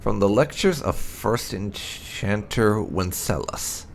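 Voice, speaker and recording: A man reading fantasy game lore aloud as narration, in a deliberate, expressive manner with dramatic stresses. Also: fairly low pitch, vocal fry, slight background noise. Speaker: host of a game playthrough channel.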